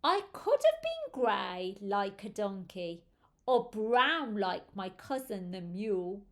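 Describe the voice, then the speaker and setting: A woman's voice: medium pitch, big pitch swings, slow. A woman reading as a proud, vain male zebra in a children's storybook, with a self-satisfied lilt. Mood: proud, pleased. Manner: lilting, self-satisfied rhyming delivery.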